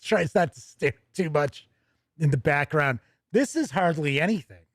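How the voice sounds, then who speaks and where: A man's voice: a little high, expressive, normal speed, dry recording, studio quality. A man hosting a casual livestream, talking in a rambling, conversational way and often interrupting himself as he goes. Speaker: livestream host. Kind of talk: casual livestream chat, answering viewer questions.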